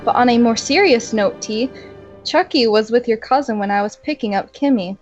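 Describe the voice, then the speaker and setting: A woman's voice: on the high side, brisk, darkish tone. A young woman reading amateur fan fiction aloud, often giggling between lines. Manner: reads aloud with frequent giggles. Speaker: co-reader. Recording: dull lo-fi home recording. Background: faint music.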